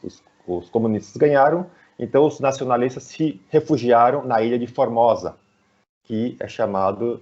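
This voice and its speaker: A man with a Brazilian Portuguese accent explaining things conversationally as an interview guest, halting and often adding little tag questions, on dull online call audio. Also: fairly low pitch, a little mellow. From São Paulo, Brazil. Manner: halting, frequently tagging phrases with "né".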